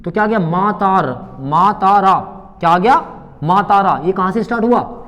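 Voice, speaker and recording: Male teacher, high voice, reverberant hall, call-and-response questioning, exam coaching. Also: normal speed, a bit bright. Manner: drills students with repeated questions and call-and-response answers; addresses students fondly as beta, bachche. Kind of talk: exam-prep classroom lesson.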